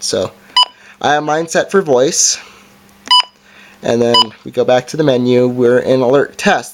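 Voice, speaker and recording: A man giving a casual, halting hands-on gadget demonstration in an unscripted way. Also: medium pitch. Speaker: product reviewer. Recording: noisy amateur home recording, clicks.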